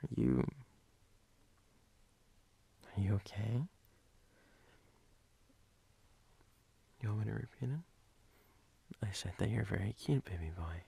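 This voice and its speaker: A man playing a sleepy, teasing, doting boyfriend in an ASMR role-play, husky and breathy, often dropping to a whisper in an intimate, halting way. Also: on the low side, animated, dark tone, heavily acted. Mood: tender teasing.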